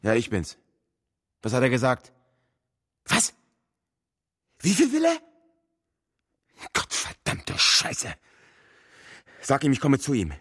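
An actor playing a foul-mouthed, cynical, corrupt city cop in a radio drama, gruff and bullying. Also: male voice, mid-range voice, wildly swooping pitch, slow, pauses often, fairly bright tone, full character voice. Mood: angry, curt.

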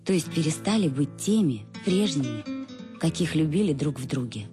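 An actress playing a reflective, embittered middle-aged wife in a radio play, confessing with irony to her absent husband in a husky voice. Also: low voice, very animated, moderate pace, over music. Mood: wistful, questioning. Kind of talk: dramatic first-person monologue in a radio play. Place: dry studio.

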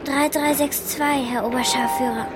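A woman's voice: high voice, fairly even intonation, normal speed, background noise, lightly acted. A woman in a German radio drama playing a nine-year-old refugee girl with a childlike manner. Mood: timid, obedient. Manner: childlike, timidly obedient to authority.

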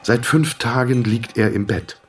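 An actor narrating a literary drama as the weary, introspective inner voice of a middle-aged patient, husky and terse. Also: male voice, mid-range voice, unhurried, close to an everyday voice. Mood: brooding.

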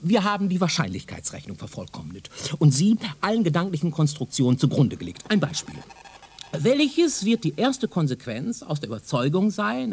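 Pompous, wildly animated professor. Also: male voice, a little high, slightly rough, full character voice. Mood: didactic, proud. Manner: pompous, didactic, declamatory, condescending. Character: pompous, self-assured male professor lecturing on his doctrine. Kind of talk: German radio drama acting.

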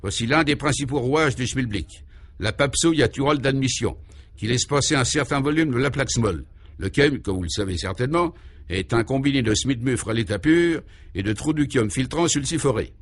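A man voicing a pompous male scientist who explains his absurd invention in a declamatory, gravely technical way for a radio comedy. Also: medium pitch, animated, a little fast, lightly acted. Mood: pompous, instructive.

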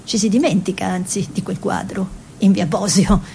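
Attrice nel ruolo di una pittrice italiana arguta. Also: female voice, steady tone, normal speed, gravelly, mellow, natural voice. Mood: nostalgic. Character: spirited self-taught Italian woman painter, former artists' model, reminiscing. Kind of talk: theatrical monologue and dialogue for radio. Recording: radio play with faint noise and rumble.

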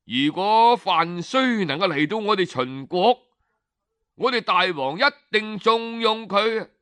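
Guy doing a courteous envoy in an old chinese tale, eager, formal, slow clear delivery. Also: sits high, animated, pushed and bright, dry recording, clean studio sound, heavily acted. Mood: lamenting. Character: eager, courteous male Qin envoy of ancient China.

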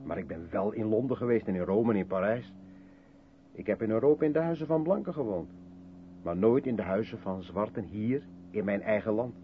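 A man's voice: lowish voice, lively intonation, brisk, close to an everyday voice. A tinny old radio drama recording with faint noise carries a guarded, withdrawn man played by an actor. He broods and questions himself, hesitant and full of self-doubt, in the manner of seventies radio acting. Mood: guarded, reflective. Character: withdrawn, self-doubting mixed-race South African man, guarded intellectual. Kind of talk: 1970s Dutch radio play acting.

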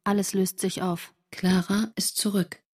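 A shaken female diarist in a radio drama. Her mid-pitched voice is intense, and she delivers literary narration and dialogue in short, broken phrases. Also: flat delivery, unhurried, a little mellow, breathy edge. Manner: terse, fragmentary sentences, intense. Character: shaken woman writing diary of friend's return from camp.

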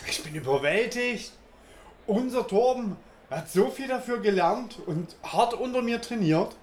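A man's voice: high-pitched, expressive, measured pace, slight background noise. Man acting a boastful father in a roomy space. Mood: proud. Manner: self-important, eager. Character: boastful strict father, proud chicken-wing dispatch boss. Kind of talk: comedic radio play acting.

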